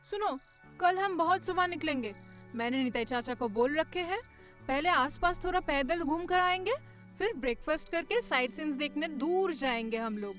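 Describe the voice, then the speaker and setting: Cheerful young hostess, acted by a woman, gently bossy, extremely high pitch, cartoon story, light music bed. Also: lively intonation, moderate pace. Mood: organizing. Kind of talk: Hindi cartoon story voice acting.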